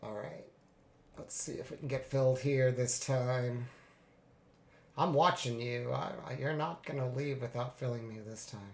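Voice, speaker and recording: A man getting over a cold, a little congested, narrating his live trades in a relaxed way with many fillers. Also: mid-range voice, normal speed, gravelly, echoey room. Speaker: futures day trader streaming live. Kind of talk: live trading commentary.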